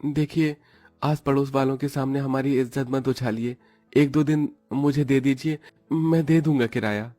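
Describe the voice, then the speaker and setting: A man's voice: medium pitch, fairly even intonation, normal speed, dark tone, dry recording, faint music behind, close to an everyday voice. A man playing a polite, worried young working son in a cartoon story. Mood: pleading.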